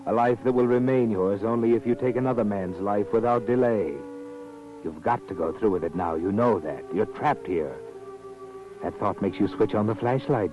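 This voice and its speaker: An actor playing an ominous, mocking all-knowing narrator of an old 1940s radio mystery, taunting and deliberate. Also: male voice, on the low side, close to an everyday voice. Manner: sardonic, taunting, haunting deliberate delivery. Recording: dull old-time radio recording, faint music.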